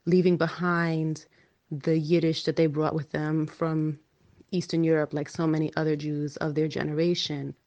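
A woman from New York, an English as a second language teacher, telling her own story in an earnest, measured way. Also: low voice, level tone, a little mellow, highs cut off. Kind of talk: reflective personal story.

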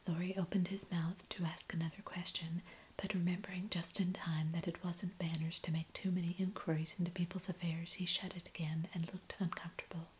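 A woman reading a classic novel aloud in a soft, unvoiced ASMR whisper. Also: on the low side, level tone, brisk, slight background noise, low-quality recording. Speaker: audiobook reader.

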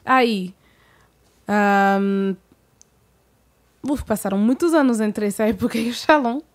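A woman in her thirties from Montreal, a singer being interviewed, speaking in a soft, measured way. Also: mid-range voice. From Montreal, Canada. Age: 30s. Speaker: guest singer. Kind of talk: interview conversation.

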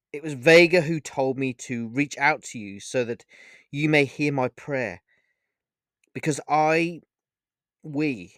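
A man voicing a distraught adult female werewolf who talks in an earnest, halting, pleading way. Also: mid-range voice, no echo, clean studio sound, pitched above the performer's own voice, close to an everyday voice. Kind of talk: storybook character voice.

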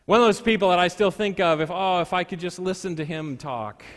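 A male pastor with a high voice preaches in a large church hall with long reverb. He moves between scripture and storytelling, using deliberate pauses and dramatic emphasis. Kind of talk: sermon with scripture reading and storytelling.

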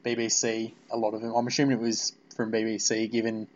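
A man's voice: fairly low pitch, completely flat delivery, fast talker, lo-fi sound. A man hosting a casual fan interview, rambling and repeating his phrases as he goes. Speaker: podcast interviewer.

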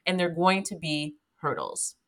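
A woman's voice: low voice, very animated, a little slow, a bit bright. A woman guest talking in a candid, emphatic way in a casual interview, swearing freely.

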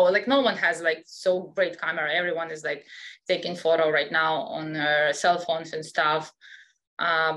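A woman's voice: fairly low pitch, a bit bright. A woman with a Russian accent, a guest on an interview show, chatting casually with lots of fillers and restarts. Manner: chatty, many fillers and restarts, non-native grammar. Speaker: guest, scientific illustrator.

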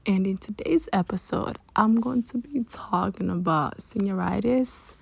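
A young woman in her early twenties chats on her solo podcast in a loose, rambling way, often restarting mid-thought. Her voice is gravelly with heavy vocal fry, lower than her usual after a long day awake. The sound is close and dry. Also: measured pace, no echo. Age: early 20s. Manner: rambling, chatty, many restarts. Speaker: podcast host. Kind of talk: casual solo podcast chat.

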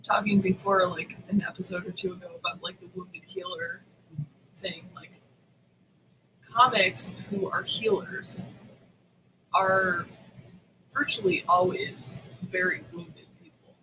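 A man's voice: high-pitched, unhurried, long pauses, sharp tone, a little hiss or hum, poor audio. A man co-hosting a podcast in casual conversation, reflective and inquisitive. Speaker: podcast co-host.

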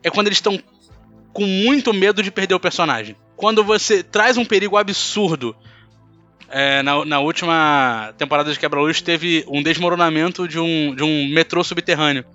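A man's voice: on the high side, moderate pace, sharp tone. A Brazilian man hosting a casual podcast, telling stories in a colloquial, emphatic way. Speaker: podcast host. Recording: muffled mic, faint music bed.

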